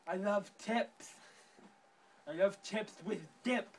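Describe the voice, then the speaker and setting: A man voicing a whiny teenage girl stuck in a wheelchair in an improvised comic skit, talking in a slow, halting, plaintive way. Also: mid-range voice, lightly acted. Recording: distant mic with faint background noise.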